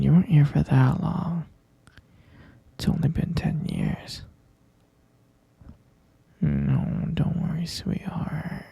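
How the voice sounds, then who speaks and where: ASMR roleplay: obsessive boyfriend captor, played by a man, slow, close and dry, husky whisper, sweetly menacing and coaxing. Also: on the high side, dark tone, dry recording, exaggerated voice. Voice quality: breathy, husky whisper with gravelly creak. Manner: cooing, coaxing, slow and halting.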